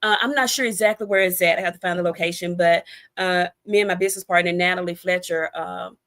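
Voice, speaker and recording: A woman's voice: fairly low pitch, steady tone, quick pace, a bit bright. An American woman talking casually as an interview guest, explaining things in a chatty, conversational way. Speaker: interview guest, author and cigar expert.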